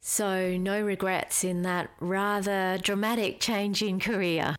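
A woman's voice: mid-range voice, fairly even intonation, slow. A woman hosting a podcast interview, polite and well prepared. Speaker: podcast host. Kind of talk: hosted interview.

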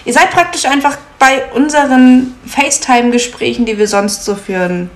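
A woman's voice: sits high, moderate pace. Chatty young woman in an echoey room. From Munich, Germany. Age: 20s. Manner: chatty, laughs easily, frequent filler sounds. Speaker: podcast co-host. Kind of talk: casual chat. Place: at home in Munich. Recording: echoey room with faint noise.

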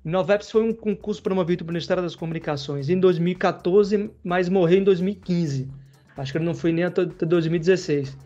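A man talks in a relaxed, rambling way, full of slang, the way a podcast host chats. His voice sits a little high. The recording sounds dull and lo-fi. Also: fast, faint music behind. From Bahia, Brazil. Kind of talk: casual chat.

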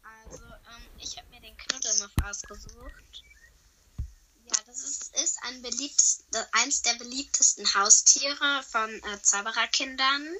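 Hoarse woman guest, very bright edgy tone, halting delivery, faint hiss from a phone line. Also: fairly high pitch. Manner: hesitant, many fillers. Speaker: podcast guest. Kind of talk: casual chat. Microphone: phone call.

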